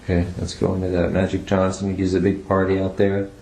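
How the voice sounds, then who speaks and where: A man questioning someone as a police interrogator, going step by step in a halting way. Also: low voice, monotone, creaky, dark tone. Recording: echoey room tape, faint noise.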